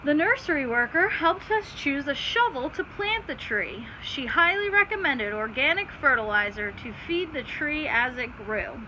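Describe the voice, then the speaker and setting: A woman's voice: sits high, animated, normal speed, few pauses, sharp tone, rough recording. A woman teacher reading aloud to children, stopping to explain simply and put questions to her listeners. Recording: clear background noise.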